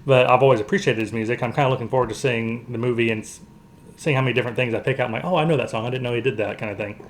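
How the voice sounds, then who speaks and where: A man co-hosting a show, casually discussing movies in a halting, hedging way as he explains things. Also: mid-range voice. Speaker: podcast co-host.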